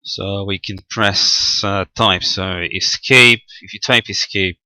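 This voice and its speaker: A man with a low, bright-edged voice talks through a technical demonstration. He explains methodically and haltingly, feeling his way through each step without a script. The sound is close and dry. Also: steady tone, no echo. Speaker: amateur radio hobbyist presenting equipment. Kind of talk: unscripted screen-recorded technical walkthrough.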